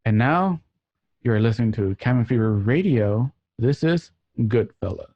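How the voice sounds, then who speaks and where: A man's voice: mid-range voice, expressive, measured pace, dry recording. A man delivering a scripted promo as the announcer of a radio show, with guitar music playing behind him. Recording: dull lo-fi sound under loud music.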